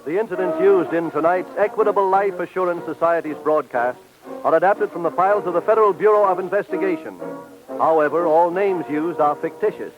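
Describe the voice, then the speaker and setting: A man's voice: sits high, brisk. Male sponsor announcer, polished old-time radio read, band-limited sound with light music underneath. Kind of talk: scripted 1940s radio announcing. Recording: dull old radio recording.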